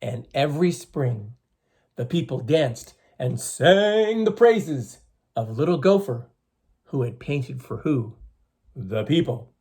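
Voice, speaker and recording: A man reading a story aloud to schoolchildren in an expressive, teacherly way, with emphatic phrasing. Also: medium pitch, slow pace, breathy edge. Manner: teacherly, emphatic, addresses listeners with questions.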